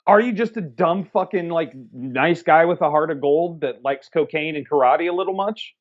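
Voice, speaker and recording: A man's voice: fairly high pitch, moderate pace. A man co-hosting a casual movie review podcast, riffing sarcastically and swearing freely. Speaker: podcast co-host. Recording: slightly dull lo-fi audio.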